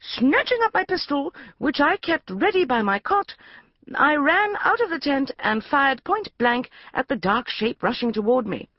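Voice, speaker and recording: A woman's voice: sits high, big pitch swings, normal speed, dry recording, lo-fi sound, pitched above the performer's own voice. A woman voicing a bold young woman reading an adventure tale aloud with heightened drama. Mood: dramatic.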